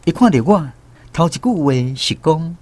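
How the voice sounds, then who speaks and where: A man's voice: fairly high pitch, normal speed, no echo. A man with a Taiwanese accent narrating a radio drama as a grown grandson fondly recalling his grandfather. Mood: reflective. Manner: expressive, reminiscing storytelling.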